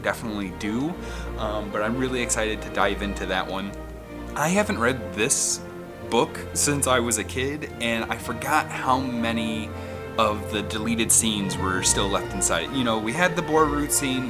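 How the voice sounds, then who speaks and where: A man who hosts and narrates audiobook readings in an expressive, dramatic way, at times speaking casually to listeners. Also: mid-range voice. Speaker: narrator and channel host. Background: film score music.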